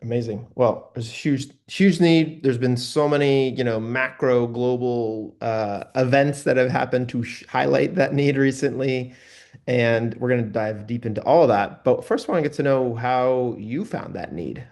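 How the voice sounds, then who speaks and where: A man's voice: mid-range voice, moderate pace, darkish tone. A man hosting a podcast, chatting with a guest in an upbeat, friendly interviewer's way. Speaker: podcast host.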